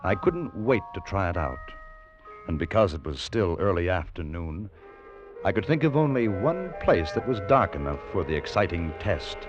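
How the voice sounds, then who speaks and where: A deep, reflective man narrates his boyhood memories in 1940s radio drama style. He is measured and nostalgic, with music behind him on a dull, band-limited old recording. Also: sing-song.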